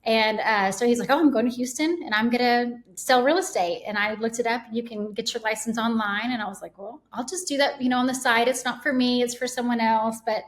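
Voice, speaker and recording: A woman, a podcast guest telling her story in a casual, animated way. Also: fairly high pitch, a little fast, few pauses. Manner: animated storytelling with quoted asides. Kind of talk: casual interview chat.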